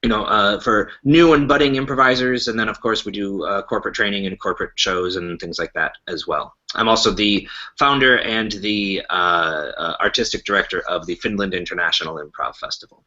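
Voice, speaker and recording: A man's voice: on the low side, a little fast, hardly any pauses, pushed and bright, highs cut off. A man with an American accent talking casually as an interview guest over an internet call. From United States. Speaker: guest, improv teacher and performer. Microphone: online video call.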